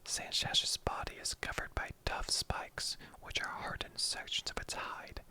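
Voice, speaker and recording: A person reading aloud in a breathy, unvoiced ASMR whisper, soft and playful, as an online video creator would. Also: measured pace.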